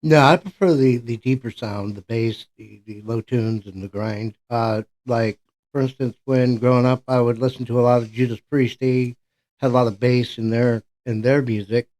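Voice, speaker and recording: A man's voice: mid-range voice, fairly even intonation, normal speed, a little mellow, rough recording. An older man co-hosting a casual podcast, talking with a dry, joking manner. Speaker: podcast co-host. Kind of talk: casual chat.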